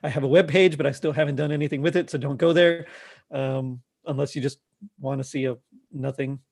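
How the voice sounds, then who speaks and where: A man's voice: medium pitch, steady tone, a little fast, vocal fry, darkish tone. A man hosting a podcast, chatting casually and jovially with a group. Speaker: podcast host.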